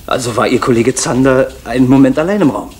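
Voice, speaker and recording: A man's voice: medium pitch, quick pace, darkish tone, close to an everyday voice. An actor playing a persistent male police interrogator in an East German radio drama, formal and pressing. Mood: probing. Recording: old radio recording, faint noise.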